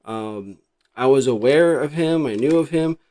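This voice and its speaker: A man's voice: mid-range voice, measured pace, mellow. Rambling middle-aged American man, chatting casually. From New Jersey, United States. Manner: rambling, reflective, thinking aloud. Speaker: host showing a cassette collection. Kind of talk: casual unscripted vlog chat.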